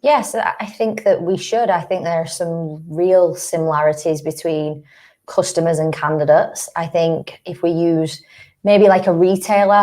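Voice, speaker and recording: A woman speaking as a panel guest, measured and explanatory. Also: lowish voice, darkish tone. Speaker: panel guest, talent acquisition manager. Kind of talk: panel discussion.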